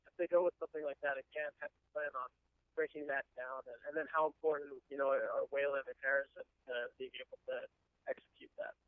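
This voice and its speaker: Higher-pitched, flat male reporter on phone. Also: dry recording. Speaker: reporter asking questions. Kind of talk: conversational press question. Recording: dry, narrow telephone line.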